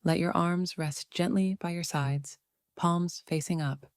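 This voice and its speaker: A woman narrating a guided meditation in a soothing, unhurried way, leaving long pauses between phrases. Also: on the low side, steady tone, clean tone, dry recording, clean studio sound.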